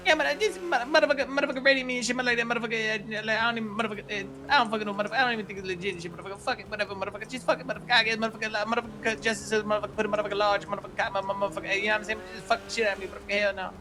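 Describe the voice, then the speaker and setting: A man's voice: high voice, fairly even intonation, racing, hardly any pauses, background noise, close to an everyday voice. A man playing a profane, rambling, streetwise ex-convict in an online roleplay game, swearing constantly and stumbling over his words.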